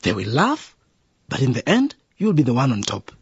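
A man's voice: mid-range voice, wildly swooping pitch, moderate pace, no echo, exaggerated voice. An actor playing a widowed police officer and caring father who talks earnestly and sighs. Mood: fatherly. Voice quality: husky. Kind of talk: radio drama acting. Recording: dull dry radio drama recording.

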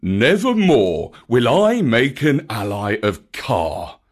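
A narrator voicing a big gruff old bear in a storybook, emphatic and plain-spoken. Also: mid-range voice, sing-song, takes it slowly. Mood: shaken.

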